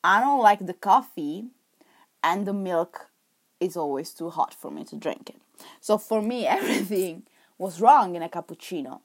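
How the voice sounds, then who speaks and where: Young Italian woman vlogger, lowish voice, rambling chatty delivery, accented English. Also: lively intonation, moderate pace. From Italy. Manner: chatty and rambling, with self-corrections and laughs. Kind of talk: casual chat to camera.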